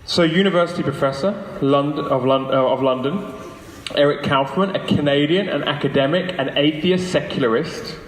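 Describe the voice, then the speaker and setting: A man's voice: a little high, moderate pace. A man speaking persuasively as a guest lecturer to a live audience, using rhetorical questions and emphatic phrasing. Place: large echoey room, lunchtime public talk. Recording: echoey hall with long reverb.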